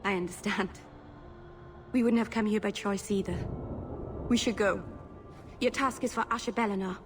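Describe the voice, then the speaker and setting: A young elf mage played by a woman from a fantasy video game, speaking in a medium-pitched voice with music underneath. She is nervous and earnest, hesitating, apologising and tripping into rambling asides. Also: fairly even intonation, normal speed, natural voice. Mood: earnest, hesitant. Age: young adult. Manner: hesitant, apologetic, self-interrupting babble.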